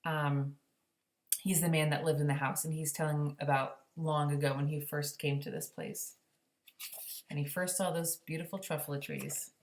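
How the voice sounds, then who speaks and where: A woman reading a children's story aloud expressively, pausing to explain it in simple words. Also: low-pitched, steady tone.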